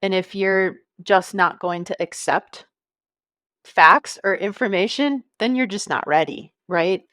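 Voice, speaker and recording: A woman's voice: mid-range voice, measured pace, a bit bright, no echo, clean studio sound. A woman in her fifties, a podcast guest talking about marketing in a friendly, conversational way, laughing easily and thinking aloud with fillers. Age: 50s. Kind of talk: conversational interview.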